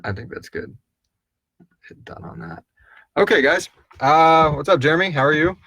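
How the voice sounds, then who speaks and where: A man's voice: fairly high pitch, moderate pace, gravelly, pushed and bright, husky, dry recording, clean studio sound. A man hosting a casual live stream, chatting informally and chummily with viewers in a rambling way. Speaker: podcast host answering listener questions.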